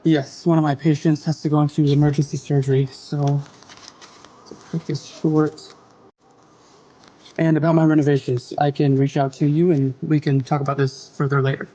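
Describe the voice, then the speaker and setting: An actor playing a reserved, kindly male surgeon in an audio drama, soft-spoken, polite and a little hesitant. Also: fairly high pitch, level tone, moderate pace, dull audio, natural voice. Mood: businesslike.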